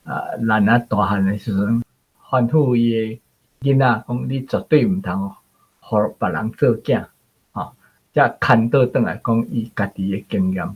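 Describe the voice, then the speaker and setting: 一位台灣口音的男性文學評論者，以閒談口吻解說故事，嗓音沙啞。. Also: male voice, fairly low pitch, measured pace, slightly rough, narrow, tinny audio. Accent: Taiwanese. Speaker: literary commentator. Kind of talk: conversational explanation of a story.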